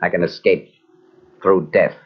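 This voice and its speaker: A tormented, bitter husband in an old radio mystery. His voice is low and husky, his delivery brooding and intense. The muffled vintage recording dulls every word. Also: fairly even intonation, measured pace. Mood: grim, desperate.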